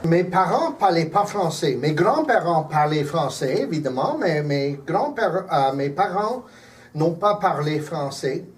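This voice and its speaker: A man speaks in an articulate, measured way, with a voice slightly higher than most men's. He sounds a little distant from the microphone. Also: animated. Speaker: executive director of a cultural center. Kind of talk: oral history interview. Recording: distant mic.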